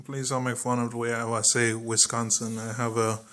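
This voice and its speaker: A young man with a Jamaican accent talks straight to his viewers in an easygoing political vlog. His voice is bright and forward, and his delivery is loose and chatty, full of ums and the occasional curse. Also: medium pitch, monotone, normal speed. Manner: chatty and informal, many ums, occasional swearing. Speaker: political vlogger. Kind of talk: casual political commentary to camera.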